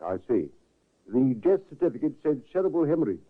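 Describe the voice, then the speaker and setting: A courteous, probing police inspector. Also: male voice, fairly high pitch, sing-song, brisk. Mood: probing calm. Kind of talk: 1950s radio drama acting. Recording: tinny old radio broadcast.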